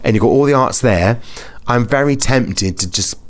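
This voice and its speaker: A man's voice: mid-range voice, normal speed. A British man hosting a podcast, talking in a chatty, informal and emphatic way. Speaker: podcast host. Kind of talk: casual podcast chat.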